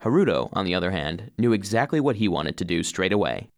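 Picture an earnest robot vacuum telling a children's story. The voice sits fairly low but swings expressively through each line, recorded close and dry. The delivery is scripted, loyal and a little anxious. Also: male voice, very animated, quick pace, no room sound. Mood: earnest, storytelling. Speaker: narrator. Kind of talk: scripted first-person children's story narration.

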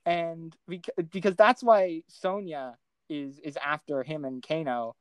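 Woman, slightly low voice, dry close sound, casual movie recap, rambling, excitable, self-interrupting. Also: a little slow, dry recording. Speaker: podcast co-host.

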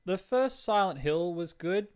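A man's voice: high voice, expressive, unhurried, smooth. Blunt, opinionated man chatting about films. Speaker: podcast co-host. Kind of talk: casual film chat.

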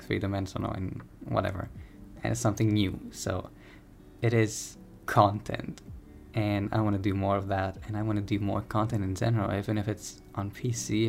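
A man's voice: fairly low pitch, gravelly, darkish tone. A young man, a streamer, talking through a casual personal podcast in a soothing, rambling, candid way, often correcting himself mid-sentence. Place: home room.

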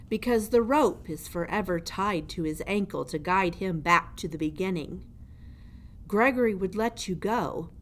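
A woman's voice: on the low side, natural voice. A woman voicing a grim, theatrical old male dungeon jailer in a storybook, ominous and emphatic. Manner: ominous, emphatic, refers to himself in third person. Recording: noticeable background noise.

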